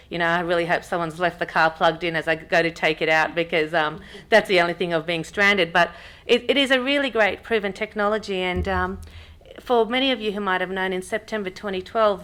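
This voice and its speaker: A woman in her thirties, born in Pakistan and speaking, gives a relaxed business workshop talk to a room, full of ums and restarted sentences. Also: on the low side. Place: conference room with audience.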